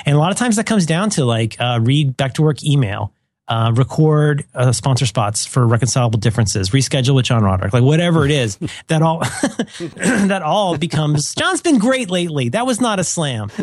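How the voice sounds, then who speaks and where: A slightly hoarse man co-hosting a podcast, chatting casually in a rambling, self-deprecating way. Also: a little high, big pitch swings, moderate pace, a little mellow, dry recording, studio quality. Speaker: podcast co-host. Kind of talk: casual chat.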